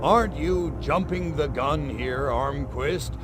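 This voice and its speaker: A man voicing a wry, sardonic alien invader in a video game, with a gravelly, drawling voice. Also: a little high, takes it slowly, over music.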